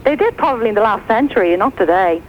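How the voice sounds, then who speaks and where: A woman's voice: on the high side, expressive, fast talker. A woman with a Dublin Irish accent calling a radio show, chatting casually in an earnest, hesitant way. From Dublin, Ireland. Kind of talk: casual phone chat. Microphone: telephone. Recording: muffled noisy phone line.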